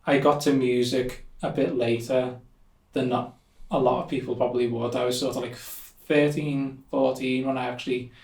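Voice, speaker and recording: A man's voice: mid-range voice, flat delivery, normal speed, few pauses, a little mellow. A young man with a Liverpool accent chatting casually as an interview guest, rambling with many fillers. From Liverpool, England. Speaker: musician guest. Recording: echoey room, somewhat distant mic.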